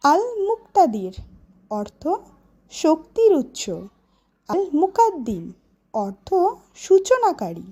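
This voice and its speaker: A woman voicing a schoolteacher in an educational cartoon, speaking deliberately and instructively. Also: high-pitched, huge pitch swings, mellow, heavily acted. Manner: deliberate, clearly instructive delivery. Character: female schoolteacher leading a children's class. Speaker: teacher. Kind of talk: educational cartoon lesson, recitation and questioning.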